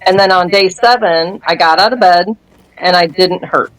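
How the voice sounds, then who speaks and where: Woman, fifties, low-pitched voice, casual interview, animated storytelling, acts out dialogue. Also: moderate pace, low-quality recording. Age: 50s. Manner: animated storyteller who acts out quoted dialogue and laughs often. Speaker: guest sharing a testimony.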